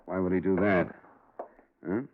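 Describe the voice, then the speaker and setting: A man's voice: deep voice, little pitch movement, unhurried, noisy background. A man playing a dogged, hardboiled insurance investigator and narrator in a 1950s radio crime drama, terse, probing and matter-of-fact. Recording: muffled vintage radio broadcast recording.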